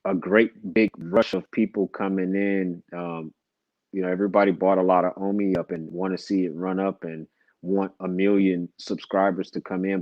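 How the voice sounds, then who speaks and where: A man's voice: sits low, no room sound. A man chatting casually as a livestream guest, folksy and colloquial, repeating phrases as he goes, on slightly lo-fi audio. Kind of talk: casual chat.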